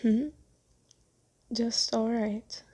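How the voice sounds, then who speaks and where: A woman playing a kind, doting, genteel vampire in an ASMR roleplay, speaking softly and soothingly with teasing endearments. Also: a little high, level tone, drawn-out words, slightly rough, full character voice. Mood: reassuring.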